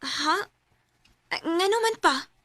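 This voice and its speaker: A woman's voice: very high voice, lively intonation, extremely slow, noisy background, full character voice. A woman with a Filipino Cebuano accent playing a shy young sweetheart and dutiful daughter in a radio drama. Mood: bashful, pleading.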